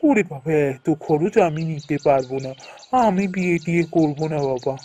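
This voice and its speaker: A man's voice: fairly high pitch, moderate pace, warm tone, close to an everyday voice. A man playing an eager, thrifty young village merchant in a folk-tale cartoon, fretful and exclamatory as he talks.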